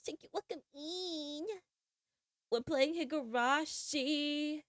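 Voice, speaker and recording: A woman's voice: high-pitched, takes it slowly, a bit bright, no room sound. Stuffy-nosed bubbly young woman streamer. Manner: bubbly, giggly and gushing. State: stuffy nose from a cold. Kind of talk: casual chat and reading a visual novel aloud.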